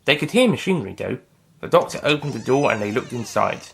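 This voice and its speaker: A man's voice: mid-range voice, sing-song, fast talker, creaky, a little hiss or hum. A man reading a scripted science-fiction adventure story as its author and narrator.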